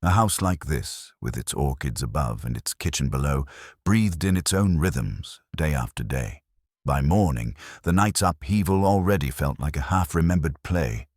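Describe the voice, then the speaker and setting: A man narrates a detective story in an extremely low voice with a husky edge. His pitch moves in an animated way, and the recording is dry and close, as in an audiobook. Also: dry recording.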